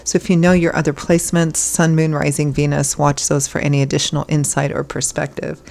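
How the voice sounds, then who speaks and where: A hoarse woman giving a casual tarot reading as host, chatty and reassuring, her voice still froggy from illness. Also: low-pitched, flat delivery, brisk, darkish tone. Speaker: tarot reader.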